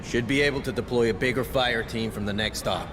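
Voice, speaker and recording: A man's voice: medium pitch, a little fast, background noise, close to an everyday voice. A man playing a practical, confident marine sergeant who fixes vehicles, in a video game cutscene.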